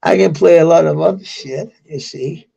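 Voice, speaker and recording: Danish guy w a really gravelly husky voice, talks slow, lo-fi sound. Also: fairly high pitch, warm tone. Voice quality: gravelly, sore voice. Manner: halting, with many restarts and fillers. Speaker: vlogger. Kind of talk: rambling personal monologue.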